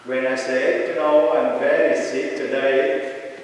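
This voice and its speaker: Priest guy from vietnam, really slow careful preaching, big echoey church heard from far off. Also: mid-range voice, level tone, a little hiss or hum. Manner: slow, deliberate preaching with long pauses. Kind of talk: sermon. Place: large church.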